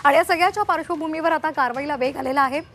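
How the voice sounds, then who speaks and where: High-pitched woman news anchor. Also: very fast, fairly bright tone, faint music behind. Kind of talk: scripted TV news bulletin narration.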